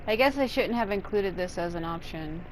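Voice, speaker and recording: A woman's voice: lowish voice, lively intonation, normal speed, noisy background. A woman giving casual commentary as she plays a video game, with a blunt, sarcastic way of talking. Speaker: gamer doing a let's play. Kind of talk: casual game commentary, reading choices aloud. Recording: game music behind. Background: video game music.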